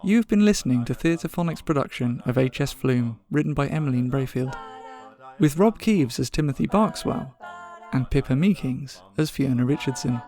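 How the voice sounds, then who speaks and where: A male announcer with a mid-pitched voice reads scripted podcast credits. Music plays faintly behind him as he goes through the production details. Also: expressive, normal speed, warm tone.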